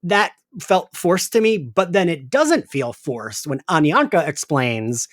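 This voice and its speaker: A man's voice: fairly high pitch, big pitch swings, measured pace, no room sound, studio quality. A man co-hosting a podcast in casual chat, speaking emphatically with some swearing and restarted sentences. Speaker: podcast host. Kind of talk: casual chat, recapping an audio drama.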